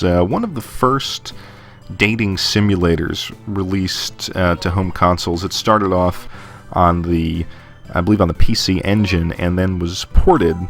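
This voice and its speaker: Male game reviewer, very low voice, laid-back and rambling, faint game music under the voice-over. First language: English. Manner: relaxed, thinking aloud with frequent fillers and restarts.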